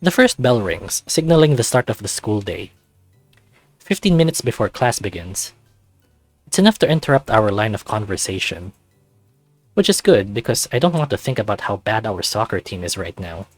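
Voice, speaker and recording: A man reading visual novel narration aloud in an expressive scripted style. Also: fairly low pitch, moderate pace, no echo, faint music behind. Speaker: visual novel let's play reader.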